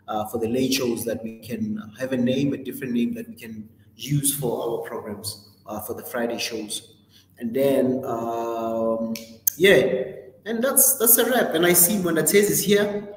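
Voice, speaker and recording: Guy hosting a stream, lots of ums and restarts, middle pitch, roomy echo with long tail. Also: unhurried, slight background noise. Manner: rambling, many ums and self-corrections. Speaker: host. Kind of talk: casual livestream announcements.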